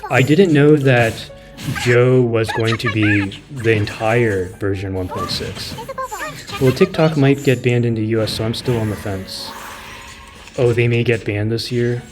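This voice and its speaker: Male gaming streamer from California, native Mandarin speaker, casual rambling chat, soft game music behind. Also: medium pitch, unhurried. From California, near San Francisco. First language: Mandarin Chinese. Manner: rambling, many fillers and restarts.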